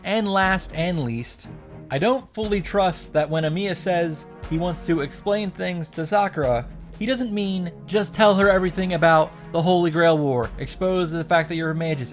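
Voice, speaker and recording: A man's voice: a little high, moderate pace. A man giving a casual, opinionated story recap and commentary, with emphatic, sarcastic asides. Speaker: video creator recapping a visual novel. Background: background music.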